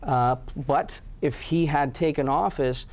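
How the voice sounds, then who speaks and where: A man's voice: a little high, unhurried, a little mellow. A man from Pakistan answering interview questions about his company, with many fillers and restarts. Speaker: guest, company head.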